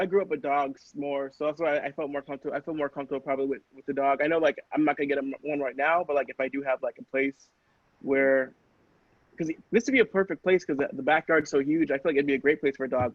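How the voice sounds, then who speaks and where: Man, rapid rambling chat, fairly high pitch, hesitant, dull close dry audio. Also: no room sound. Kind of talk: casual personal anecdote chat.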